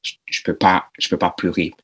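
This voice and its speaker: A man's voice: deep voice, flat delivery, brisk, a bit bright, breathy edge. A man, a podcast guest, speaking hesitantly and searching for his words in a panel discussion.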